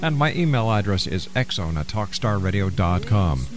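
Low-voiced male radio host. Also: very animated, moderate pace, music in the background. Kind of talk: radio interview and announcing.

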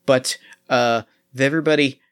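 Man voicing a calm male android pilot, calculating, halting delivery, formal phrasing, mid-pitched steady voice. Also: smooth, natural voice. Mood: earnest. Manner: halting, measured, oddly formal.

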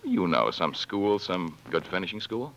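An ardent young American gentleman in love, in a forties radio play. His voice is deep, forceful and animated, with polished diction, coming through a muffled old broadcast. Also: normal speed, slight background noise, full character voice. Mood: apologetic. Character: earnest, romantic young upper-class groom. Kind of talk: 1940s radio drama acting.

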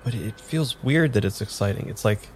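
An actor playing a nervous, hesitant amnesiac man in an improvised radio drama, talking in a halting, understated way. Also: lowish voice, animated, slight background noise, natural voice. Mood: hesitant, puzzled. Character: nervous, hesitant amnesiac man having his tarot read.

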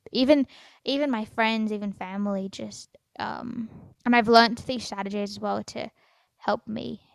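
A teenage girl hosting her own podcast, talking in a halting way with stutters, fillers and restarts, often trailing off quietly. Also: medium pitch, no echo. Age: teens. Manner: soft, trails off quietly at phrase ends. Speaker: podcast host. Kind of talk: solo personal podcast.

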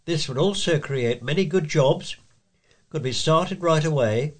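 A man's voice: fairly high pitch, unhurried. A man reading letters and local items aloud as a volunteer news reader.

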